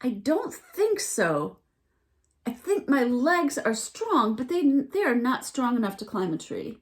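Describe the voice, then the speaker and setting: A woman voicing a gentle, shy young fawn in a storybook reading, slow and hesitant. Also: fairly high pitch, sing-song, voice pushed up. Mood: unsure.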